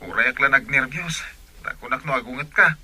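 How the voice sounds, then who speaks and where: A man's voice: mid-range voice, brisk, sharp tone, breathy edge, low-quality recording. A man with an Ilocano Filipino accent playing a coaxing, evasive young boyfriend in a radio drama, casual in manner.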